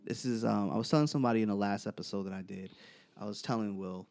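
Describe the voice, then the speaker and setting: A man's voice: on the low side, fast talker, gravelly, darkish tone. A man hosting a podcast, talking in a laid-back, rambling way full of restarts. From United States. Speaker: podcast host. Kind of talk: casual debate chat.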